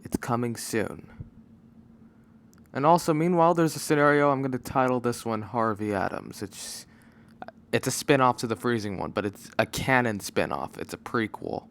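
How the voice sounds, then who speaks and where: A man giving a casual, unscripted channel announcement as a video creator, halting and rambling as he goes, on a microphone with no pop filter. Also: mid-range voice. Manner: rambling, halting, often losing his train of thought. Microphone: microphone without pop filter.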